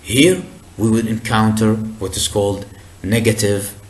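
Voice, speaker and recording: Slow arabic-speaking guy lecturing, lots of uh and restarts, explains step by step. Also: fairly low pitch. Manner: hesitant, frequent uh fillers and restarts; deliberate, explanatory. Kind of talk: recorded lecture.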